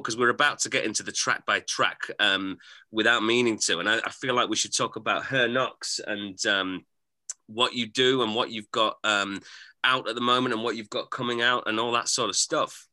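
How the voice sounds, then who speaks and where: A man's voice: mid-range voice, pushed and bright. A man hosting a podcast, thoughtful and hedging as he steers the conversation. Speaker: podcast host. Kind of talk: casual podcast chat.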